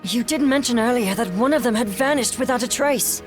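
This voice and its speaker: A woman's voice: a little high, brisk, lightly acted. An actress playing a resolute female ship captain in a video game, with a commanding, articulate, formal way of speaking. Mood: composed. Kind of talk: English video game voice acting. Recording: game music behind the voice.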